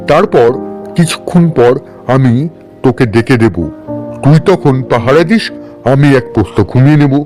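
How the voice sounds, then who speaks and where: A man's voice: medium pitch, darkish tone, close to an everyday voice. A man voicing a scheming, bossy village man in a folktale cartoon, conspiratorial and instructing. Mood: persuasive, sly. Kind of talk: cartoon voice acting.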